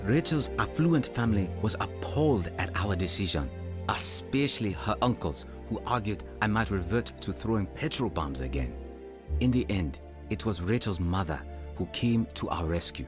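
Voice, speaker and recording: A man's voice: on the low side, expressive, moderate pace, over music, close to an everyday voice. An actor playing a humble, self-doubting young African evangelist, narrating his own story in a radio drama. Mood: reflective. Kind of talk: dramatised first-person narration.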